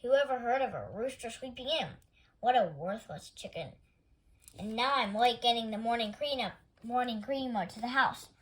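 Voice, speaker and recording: Woman voicing grumpy farm animal, disdainful, exclamatory, storybook, fairly high pitch. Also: normal speed, lightly acted, rough recording. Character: scornful, grumbling farm animals idolising their old rooster.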